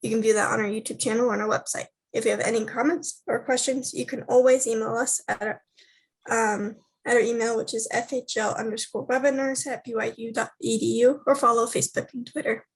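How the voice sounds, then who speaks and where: Flat-voiced woman moderating a webinar. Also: on the high side, brisk, slightly rough, rough recording. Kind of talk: reading closing announcements.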